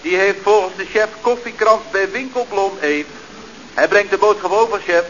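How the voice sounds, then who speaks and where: A man's voice: high voice, moderate pace, a bit bright. An actor playing a chatty, slangy youth-club leader who reports deferentially and glibly to his boss in a radio comedy. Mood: reporting. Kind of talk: Dutch radio comedy acting. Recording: dull, noisy old radio recording.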